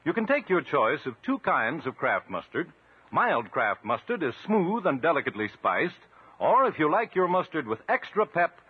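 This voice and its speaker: Old radio ad guy, really swoopy, slightly rough voice, tinny sound. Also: fairly high pitch. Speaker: commercial announcer. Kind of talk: 1950s scripted radio ad read. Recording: tinny vintage 1950s radio recording.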